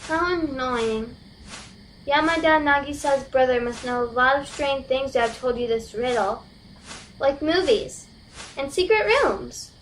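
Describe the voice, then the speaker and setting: A woman plays a peculiar teenage girl in an amateur audio drama, with a very high, slow voice. She pesters in a childish, insistent whine, and there is some noise in the home recording. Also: expressive, fairly bright tone, poor audio. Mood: grumbling.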